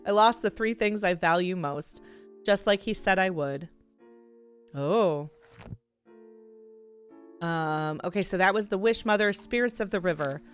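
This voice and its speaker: Clear-voiced woman streaming a game. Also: mid-range voice, unhurried, pauses often, faint music behind. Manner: expressive, reacts with exclamations. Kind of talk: live let's play, reading aloud and reacting.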